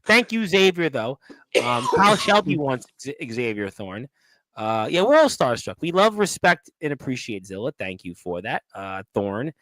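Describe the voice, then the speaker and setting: A man's voice: mid-range voice, very animated, moderate pace, a bit bright, dry recording. A man hosting a live wrestling talk show, chatting casually as he reads out and reacts to viewer comments. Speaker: host of a wrestling radio show.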